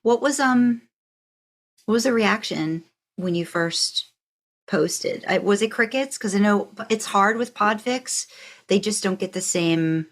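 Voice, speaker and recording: A woman's voice: medium pitch, a little slow. A woman interviewing a guest on a podcast in a casual, curious and encouraging way.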